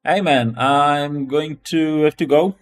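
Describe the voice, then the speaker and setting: Halting Czech-accented man, casual streamer. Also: fairly high pitch, soft background music. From Czech Republic. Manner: halting, repeats and restarts phrases. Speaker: live-stream host, web developer. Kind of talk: casual live-stream chat.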